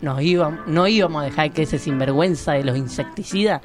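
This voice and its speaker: A woman's voice: low-pitched, fairly quick, faint music behind, lightly acted. An actress playing a feisty, outspoken small-town woman who narrates a radio drama in colloquial, emphatic Argentine Spanish. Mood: confiding, indignant.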